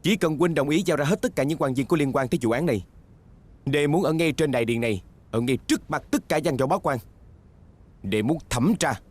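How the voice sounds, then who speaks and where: A man's voice: on the high side, expressive, a little fast. A man dubbing a scheming young nobleman in an ancient court drama, with a formal, courtly, insinuating manner. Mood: accusing. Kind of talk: Vietnamese dubbing of costume drama.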